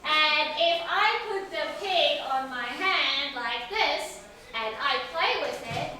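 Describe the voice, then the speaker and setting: High, bright-voiced woman teacher, very echoey room. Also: animated, slow. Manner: simple instructional phrasing with questions and repetition; encouraging, praising answers. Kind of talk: English lesson to a young class. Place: echoey classroom, mic at a distance. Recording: very echoey room, distant mic, faint noise.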